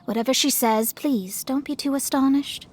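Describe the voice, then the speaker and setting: An actress playing a proud young astrologer in an anime game, with an exasperated, no-nonsense manner. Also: female voice, high voice, normal speed, very noisy, close to an everyday voice. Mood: flustered. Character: proud, exasperated young astrologer girl, embarrassed straight-talker.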